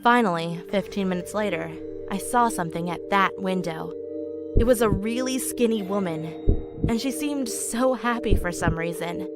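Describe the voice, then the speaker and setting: A woman's voice: medium pitch, normal speed. A woman reading a scripted first-person horror story as narration. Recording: music playing loudly behind, siren sounds. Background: loud music with siren sounds.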